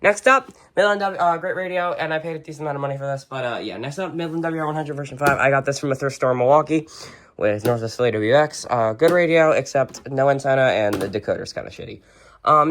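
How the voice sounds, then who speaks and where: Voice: medium pitch, fast talker, a bit bright. A collector of unclear sex talks through a home collection in a mid-range voice. The delivery is casual and unscripted, with blunt, offhand little verdicts on each item. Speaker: radio collector presenting a collection. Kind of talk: casual unscripted show-and-tell. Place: indoors at home, among shelves and tables of radios.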